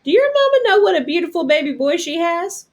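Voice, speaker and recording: A woman's voice: very high-pitched, big pitch swings, fairly quick, voice pushed up. A woman reading a storybook as a loving, devout middle-aged mother with a tender, folksy way of talking. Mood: tender, delighted. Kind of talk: storybook read-aloud character.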